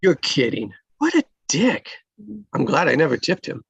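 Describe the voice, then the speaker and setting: Man acting a gravelly, halting friendly cop. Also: a little high, wildly swooping pitch, fairly bright tone, no echo, heavily acted. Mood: friendly, joking. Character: friendly, awkwardly flirty male traffic cop. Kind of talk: live screenplay table read.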